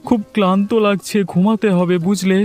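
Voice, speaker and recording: A man's voice: high voice, little pitch movement, fairly quick, a little mellow, faint music behind, close to an everyday voice. A man playing a poor, devoted village father in a cartoon, earnest and full of guilt. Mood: sad, earnest. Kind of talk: Bengali cartoon voice acting.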